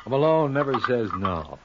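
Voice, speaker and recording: Wry wisecracking lawyer, old muffled radio. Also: male voice, mid-range voice, background noise. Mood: wry, bantering. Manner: wry, bantering. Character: wisecracking, shrewd male criminal lawyer, easygoing and probing. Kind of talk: old-time radio crime drama acting. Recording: dull old-time radio recording.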